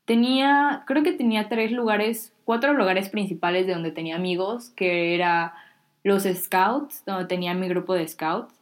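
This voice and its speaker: A woman's voice: medium pitch, fairly even intonation, moderate pace. A teenage girl from Mexico with a Mexican accent, talking casually and candidly to her viewers, dropping slang and poking fun at herself. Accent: Mexican Spanish. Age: teens. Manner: chatty, candid, self-deprecating, uses Mexican slang. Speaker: vlogger. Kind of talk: casual talk to camera.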